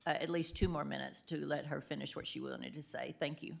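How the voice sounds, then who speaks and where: A woman's voice: sits low, steady tone, brisk, vocal fry. A woman county official making a polite, formal motion.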